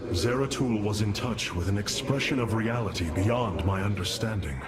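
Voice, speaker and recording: A man playing a noble, resolute alien warrior leader in a science fiction game, speaking gravely and formally with measured delivery. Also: lowish voice, heavy background noise, close to an everyday voice. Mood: reflective, grave.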